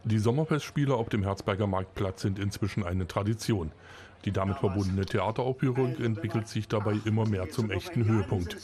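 A man reading a local news report in a measured, scripted narration style. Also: fairly low pitch, a crowd in the background.

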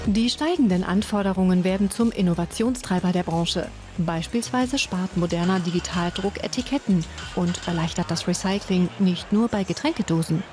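Woman, news voice-over, measured reading, faint music underneath. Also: fairly low pitch. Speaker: news narrator. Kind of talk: scripted voice-over narration.

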